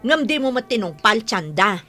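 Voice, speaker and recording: A woman's voice: a little high, sing-song, moderate pace, pushed and bright, soft background music, full character voice. A woman playing an aggrieved village moneylender in an Ilocano radio comedy drama, scolding and emphatic, with an Ilocano accent. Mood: worried complaint.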